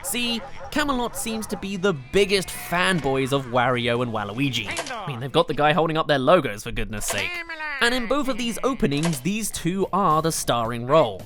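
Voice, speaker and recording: Lively high-pitched man over loud music. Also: moderate pace. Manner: gushing, informal. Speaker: video game reviewer and narrator. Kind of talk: enthusiastic scripted countdown commentary. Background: game soundtrack music.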